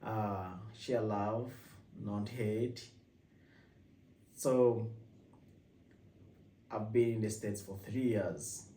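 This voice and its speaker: A man with a Ugandan accent talks casually to the camera, often breaking off and restarting his sentences. His voice sits a little low and mellow. He sounds a little far from the mic in an echoey room with a faint hum of ambience. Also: fairly even intonation, drawn-out words, pauses often. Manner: rambling with frequent restarts. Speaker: vlogger host. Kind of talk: casual personal vlog.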